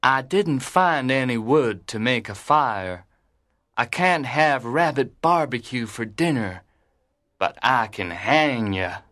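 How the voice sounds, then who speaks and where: A man voicing a sly, hungry male fox villain in a storybook, with a gloating, deliberate delivery. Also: medium pitch, animated, fairly bright tone. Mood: menacing. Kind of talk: storybook character voice.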